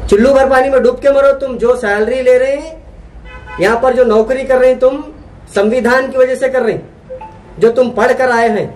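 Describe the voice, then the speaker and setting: High-pitched indignant man with Deccani accent. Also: steady tone, moderate pace, a little mellow, rough recording. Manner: indignant, accusatory, repetitive. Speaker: activist spokesman. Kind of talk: protest statement to press.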